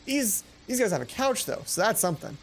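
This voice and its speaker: A woman's voice: mid-range voice, sing-song, brisk, a little hiss or hum. A woman hosting a let's play, chatting casually and playfully with some self-deprecation. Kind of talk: casual gaming commentary mixed with reading dialogue aloud. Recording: music playing behind the voice. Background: game music.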